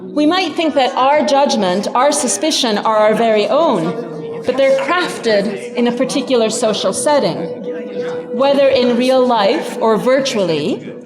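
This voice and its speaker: A woman giving a scripted lecture narration in a measured, articulate, emphatic manner. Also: on the high side, lively intonation, long reverb tail, a crowd in the background.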